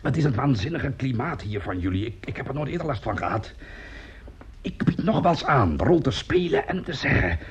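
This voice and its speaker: An actor playing a temperamental, vain male opera singer in a radio play, hoarse, haughty and theatrical. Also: medium pitch, big pitch swings, a little fast, lo-fi sound. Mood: indignant.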